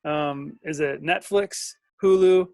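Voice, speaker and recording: A man's voice: on the high side, takes it slowly, gravelly, darkish tone, no echo. Casting: male interview host, upper-middle register, presenter delivery, very dry recording. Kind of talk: hosted interview.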